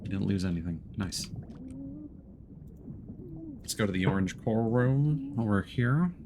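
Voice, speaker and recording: A man giving casual commentary while playing a co-op game, guiding a teammate and thinking aloud haltingly. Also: lowish voice, lively intonation, background noise. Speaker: streamer guiding a co-op player.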